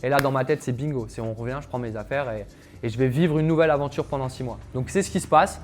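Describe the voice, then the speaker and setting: A man's voice: mid-range voice, quick pace, soft background music. A young man, a calisthenics coach, telling his personal story to camera in a casual, chatty way, with lots of fillers and asides to viewers. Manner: chatty, filler-heavy, addresses viewers directly.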